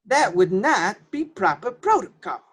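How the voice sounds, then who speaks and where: A woman's voice: mid-range voice, very animated, a little slow, slightly rough. A woman playing an unbending, officious clerk in a comedic radio play, clipped and matter-of-fact. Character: unbending, officious bureaucratic clerk, deadpan and obstructive.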